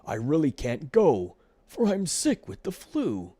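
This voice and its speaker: A man's voice: fairly high pitch, huge pitch swings, measured pace, mellow, voice pushed up, heavily acted. A man voicing a selfish, scheming flea from a children's storybook, melodramatic, whiny and boastful. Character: selfish, scheming male flea feigning illness.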